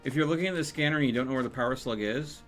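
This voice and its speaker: A man's voice: mid-range voice, very fast. A man giving casual live gameplay commentary, thinking aloud with playful exclamations. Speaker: video game streamer. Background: video game music.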